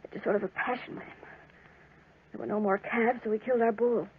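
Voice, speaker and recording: An actress playing a frightened, worn-out farm wife, pleading and halting, in 1940s radio drama style. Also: female voice, on the high side, fairly even intonation, slightly rough. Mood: fearful, weary. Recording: narrow, tinny old 1940s radio recording.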